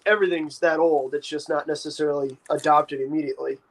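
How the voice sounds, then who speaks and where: A man's voice: a little high, fairly even intonation, quick pace. A man in his thirties talking casually as a co-host. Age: 30s. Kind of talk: casual chat.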